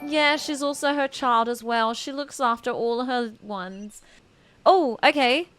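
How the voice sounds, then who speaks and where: A woman's voice: on the high side, unhurried, fairly bright tone, soft background music. A woman livestreaming a game, chatting casually with gushing, giggly reactions to what she plays. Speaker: game streamer. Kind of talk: casual livestream gameplay commentary.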